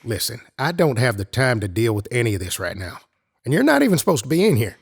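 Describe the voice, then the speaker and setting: Gruff, husky, impatient federal agent. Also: male voice, mid-range voice, big pitch swings, fast, heavily acted. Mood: curt, irritated. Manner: curt, irritable, skeptical. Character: brusque, impatient, weary male special agent. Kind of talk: audio drama acting.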